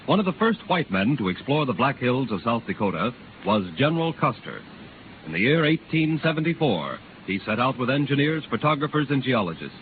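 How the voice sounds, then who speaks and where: A man's voice: mid-range voice, very animated, fairly quick. A man giving grand, declamatory scripted narration as a 1930s radio announcer. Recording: scratchy tinny 1930s radio recording.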